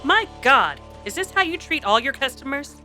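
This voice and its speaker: Woman acting a brazen, sharp-voiced Old West saloon lady. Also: high voice, very animated, moderate pace, faint music behind, exaggerated voice. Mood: indignant. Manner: haughty and dramatic. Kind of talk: old-time radio Western acting.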